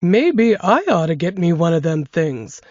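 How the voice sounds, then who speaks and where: A woman's voice: lowish voice, big pitch swings, measured pace, darkish tone, dry recording. A woman voicing an elderly woman in an assisted living home who coaxes her great-grandson into listening with a folksy, teasing manner. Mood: coaxing. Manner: folksy drawl, teasing and conspiratorial. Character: elderly woman storyteller, spry, sly and coaxing. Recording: dry, dull lo-fi podcast audio.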